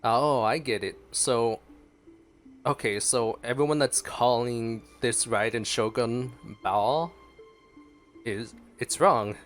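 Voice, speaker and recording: A man's voice: mid-range voice, slow. A man giving casual reaction commentary as a game streamer, musing aloud and exclaiming. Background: game music.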